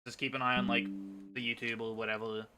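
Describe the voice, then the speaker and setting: A man's voice: medium pitch, little pitch movement, quick pace, pushed and bright. A man hosting a livestream, chatting casually with friends and viewers. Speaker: livestream host.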